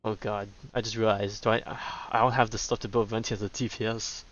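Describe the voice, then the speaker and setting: A man's voice: fairly low pitch, flat delivery, fairly quick, fairly bright tone, dull audio. A man doing casual commentary as he plays a game, chatting and thinking aloud as a streamer. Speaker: gaming streamer.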